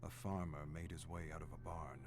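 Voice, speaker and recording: An actor playing a grave, weary scholarly archivist with a morbid fascination, narrating dark game records in a deliberate, brooding way. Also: male voice, extremely low pitch, little pitch movement, noisy background. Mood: morbidly fascinated. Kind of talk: dramatic scripted game narration. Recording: clear music behind the voice.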